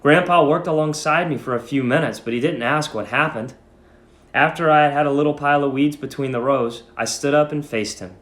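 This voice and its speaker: A man speaking as a teacher, reading and instructing in an expressive, emphatic way. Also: a little high, brisk. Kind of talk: dramatic story reading and lesson instruction. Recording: echoey room.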